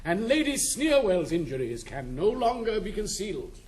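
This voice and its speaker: An actor playing a pompous, sanctimonious hypocrite with a British accent in a 1960s classical stage comedy. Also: male voice, high voice, big pitch swings, normal speed, darkish tone. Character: smooth, sanctimonious male hypocrite, pompous schemer.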